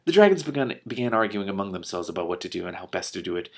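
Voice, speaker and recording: Creaky low-voiced man reading aloud. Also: rapid-fire. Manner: animated, incredulous asides. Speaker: host reading a book aloud with commentary. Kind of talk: expressive read-aloud with casual reactions.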